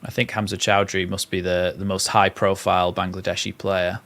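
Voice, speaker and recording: Lowish-voiced northern English football streamer. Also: male voice, little pitch movement, brisk, fairly bright tone. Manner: play-by-play calls mixed with reading out viewer comments. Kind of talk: live match commentary and chat.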